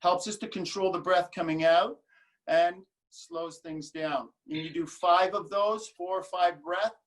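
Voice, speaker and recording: A man teaching yoga and breathing explains a counted breath technique over a video call. His voice sits a little high and his manner stays relaxed and unhurried. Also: low-quality recording. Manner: relaxed, slow counted instructions.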